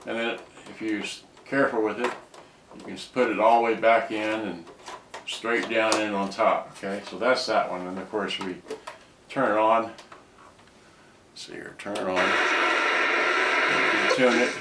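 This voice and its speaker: Man, low voice, casual item demo, rambling delivery, distant mic, faint background noise. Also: steady tone, normal speed, long pauses, echoey room. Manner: rambling, trailing off mid-sentence. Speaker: cassette player restorer presenting his own items. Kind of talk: casual hands-on product demonstration.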